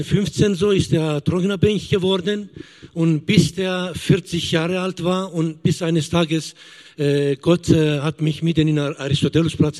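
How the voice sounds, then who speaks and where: Halting, high-voiced man in his fifties. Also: darkish tone. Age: 50s. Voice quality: halting, searching for words. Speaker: missionary. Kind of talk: guest testimony in church.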